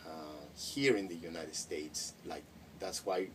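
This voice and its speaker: Middle-aged man, Spanish first language, low voice heard from across the room, thoughtful and full of restarts. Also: takes it slowly. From Mexico. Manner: reflective, many hesitations and restarts. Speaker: interviewee. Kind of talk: casual interview. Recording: distant mic with faint noise.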